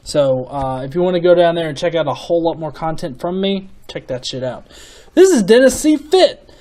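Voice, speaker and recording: A man hosting a casual vlog, his voice sitting a little high for a man. He talks in a sarcastic, animated way and curses freely while mocking viewer comments. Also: normal speed. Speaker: channel host.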